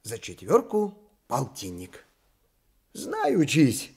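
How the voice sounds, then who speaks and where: An actor playing an eager, coaxing father in a Soviet radio drama, with an animated, cajoling manner. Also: medium pitch, slow pace, gravelly, slightly rough, full character voice. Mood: eager, instructive.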